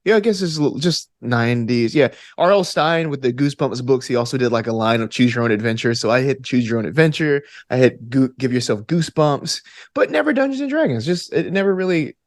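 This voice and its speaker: A man from Alabama chatting casually as an interview guest, in a playful, animated way. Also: mid-range voice, brisk, no room sound, studio quality. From Alabama, United States. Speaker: podcast guest, actor and gamer.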